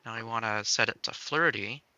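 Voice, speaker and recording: A man giving a casual software demo as a game developer, explaining step by step and thinking aloud. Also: medium pitch, fairly quick, clear, resonant tone, no room sound. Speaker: game developer demonstrating a tool.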